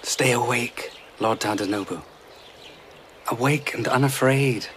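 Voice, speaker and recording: An actor playing a playful, unafraid trickster and court favourite, politely teasing and taunting in a radio drama. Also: male voice, medium pitch, measured pace, a bit bright, a little hiss or hum, natural voice. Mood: hushed.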